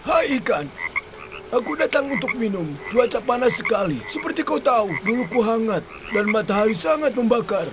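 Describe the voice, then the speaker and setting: A performer voicing a grumbling old cartoon bear, gullible and quick to take offence. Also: medium pitch, big pitch swings, background noise, close to an everyday voice. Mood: mild. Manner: grumbling, indignant. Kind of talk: children's cartoon dubbing. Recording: loud music behind the voice.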